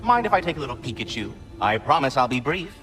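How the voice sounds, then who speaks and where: An actor voicing a fussy, effusive talking squirrel with florid, genteel diction, like a refined gourmand in a video game. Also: male voice, medium pitch, sing-song, quick pace, music in the background, lightly acted. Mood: effusive, delighted. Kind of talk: video game character acting.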